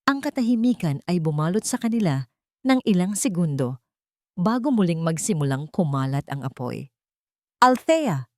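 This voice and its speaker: A woman delivers scripted, dramatic story narration. Her voice sits fairly low for a woman, and the sound is dry and close. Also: lively intonation, moderate pace, a little mellow, no echo.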